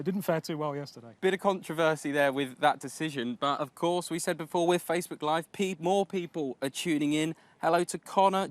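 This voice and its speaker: A man's voice: a little high, dry recording. A man hosting a live golf show, upbeat as he greets viewers and hands over to a reporter. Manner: upbeat, reading viewer shout-outs. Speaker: show host. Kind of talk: live studio presenting.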